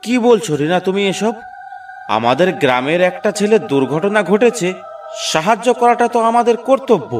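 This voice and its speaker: A man's voice: sits high, expressive, moderate pace, recorded from a distance, soft background music. A man voicing a dutiful, stubborn village husband in a cartoon, speaking firmly and earnestly. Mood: firm resolve. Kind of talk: cartoon voice acting.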